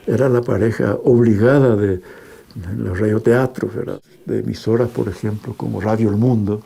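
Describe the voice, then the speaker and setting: A man's voice: lowish voice, fairly even intonation, normal speed, creaky, dark tone, slightly rough. A man in a conversational interview who often ends phrases with tag questions.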